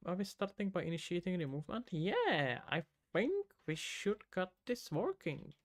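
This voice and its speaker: A man's voice: high voice, sing-song, normal speed. A man from the Czech Republic with a Czech accent, streaming live as an indie game developer, muttering to himself as he codes and casually answering chat. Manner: casual, mutters to himself while working, loose asides to chat.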